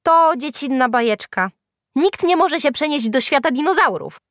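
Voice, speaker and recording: Sneering schoolgirl classmate, acted by a woman; storybook part, high voice, scornful. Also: lively intonation, very slow, bright, forceful tone, dry recording, clean studio sound, heavily acted.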